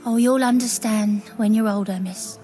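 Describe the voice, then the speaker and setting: A woman voicing a gentle, caring servant in a video game, soothing and softly admonishing. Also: fairly high pitch, fairly even intonation, normal speed, faint music behind, lightly acted. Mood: hushed, careful. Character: gentle, caring female servant minding a young miss.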